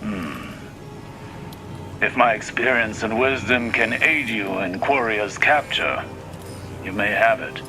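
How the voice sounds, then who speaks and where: A man playing a proud, scholarly advisor in a game cutscene, speaking formally and deliberately. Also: lowish voice, expressive. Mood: probing, intent. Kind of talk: video game cutscene dialogue. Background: music.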